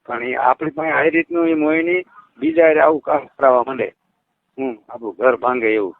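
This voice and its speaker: Man, a ritual healer on a phone call, steady instructive delivery over a tinny, dry line. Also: medium pitch, fairly quick, gravelly, dry recording. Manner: reassuring, instructive, repeats checks like "right?". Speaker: ritual healer advising a caller.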